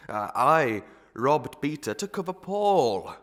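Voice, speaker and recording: An actor playing a pompous, sly, gluttonous parish clerk who sermonises in archaic proverbs and Latin tags in a melodrama. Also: male voice, medium pitch, sing-song, slow pace, fairly bright tone. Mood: blustering, sly. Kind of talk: Victorian melodrama audio acting.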